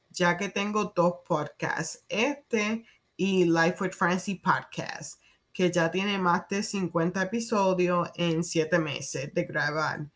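A Puerto Rican woman in her thirties hosting a solo podcast, speaking haltingly with fillers and soft laughs. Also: mid-range voice. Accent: Puerto Rican Spanish. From Puerto Rico. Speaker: podcast host.

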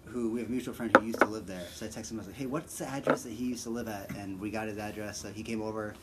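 A man's voice: fairly low pitch, fairly even intonation, rapid-fire, heavy background noise. A man hosting a podcast, telling rambling, profane stories in casual conversation. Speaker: podcast host. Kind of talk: casual chat, storytelling.

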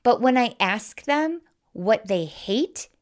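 Unhurried Midwestern American woman podcasting. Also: fairly high pitch, lively intonation. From Wisconsin, American Midwest. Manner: candid, informal, emphatic, casually sweary. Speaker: life coach, podcast host. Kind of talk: conversational podcast monologue, persuasive.

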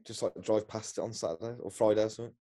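An easygoing man chipping into podcast chat with short replies, his voice lowish and soft-toned. He sounds relaxed and unhurried. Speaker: podcast co-host. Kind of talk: casual chat.

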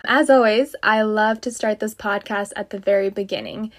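A woman's voice: medium pitch, steady tone, normal speed. A woman hosting an interview podcast, speaking in an enthusiastic, effusive and chatty way. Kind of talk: warm, chatty interview with scripted intro.

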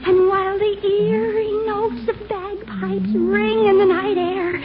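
A woman playing an excitable, chatty teenage neighbor girl in an old radio comedy, telling tales in a breathless, melodramatic way. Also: extremely high pitch, takes it slowly, loud music behind the voice, full character voice. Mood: spooky, melodramatic. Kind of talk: 1940s radio comedy acting. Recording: noisy, muffled old radio recording.

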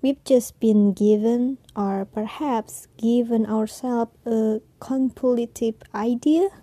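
A woman's voice: fairly high pitch, mellow, dry recording. A woman in her twenties with an Indonesian accent, hosting a casual personal podcast in hesitant, non-native English. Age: 20s. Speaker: podcast host.

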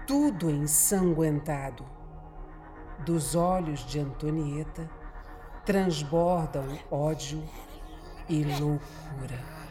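Horror radio drama narrator: Brazilian female, very unhurried dramatic storytelling, set against music. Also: low voice, warm tone.

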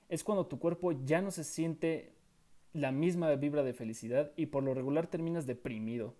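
A man's voice: a little high, warm tone. A young man hosting a sports commentary show, reading from a script in an opinionated way and asking many rhetorical questions. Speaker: sports podcast host.

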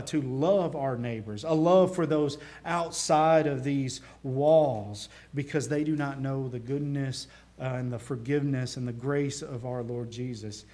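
A man with a Southern accent delivers a sermon from the pulpit, his pitch sitting in an ordinary middle range. He preaches earnestly, pressing louder on key phrases and falling soft at the ends of thoughts. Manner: earnest preaching with rising emphasis and quiet drops. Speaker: pastor. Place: church.

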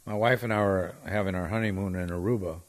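Low-pitched male podcast co-host, easygoing storyteller who pokes fun at himself. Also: fairly even intonation, quick pace. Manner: jokey, self-deprecating storyteller. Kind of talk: casual chat.

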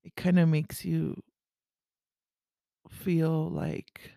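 Female podcast host, raspy and creaky, earnest, halting delivery for a personal faith monologue. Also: low-pitched, little pitch movement, mellow, no room sound, studio quality. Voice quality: raspy early-morning voice. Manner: halting, earnest, with throat clearing.

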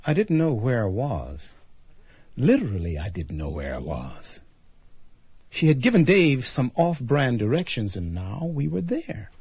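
A man's voice: medium pitch, very animated, unhurried, darkish tone, husky, no echo, exaggerated voice. An actor playing a bewildered, anxious man who wryly recounts a strange adventure in a radio drama, stammering when flustered. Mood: mystified, recounting. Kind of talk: radio drama acting with first-person narration.

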